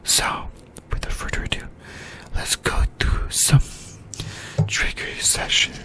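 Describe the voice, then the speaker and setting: A host whispering an ASMR discussion in a breathy, unvoiced voice, speaking in halting short phrases and repeating words. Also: bright, forceful tone. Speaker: host of an anime discussion channel.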